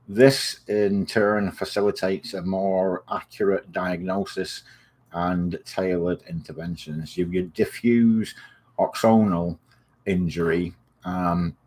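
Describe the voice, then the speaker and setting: English man with a slightly Americanised accent, very low voice, rambling, many ums and uhs, friendly. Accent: English, softened by years in the US. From England. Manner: friendly sign-off. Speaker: video series host. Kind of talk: informal spoken health explainer.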